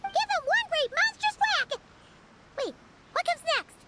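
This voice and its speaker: A woman voicing a chatty, excitable childlike companion in a video game, with a perky, casual delivery. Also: extremely high pitch, measured pace, noisy background. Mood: excited, urging. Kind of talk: cartoonish video game voice acting. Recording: music playing behind the voice.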